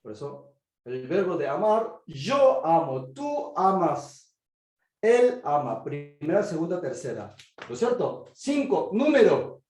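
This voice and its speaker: A man's voice: on the high side, sing-song, takes it slowly, darkish tone, echoey room. A man with a Korean accent, speaking Spanish as a second language, teaches a grammar class and keeps checking that students follow along. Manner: checks understanding with frequent "¿sí?". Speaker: teacher of biblical Greek. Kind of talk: online class lecture.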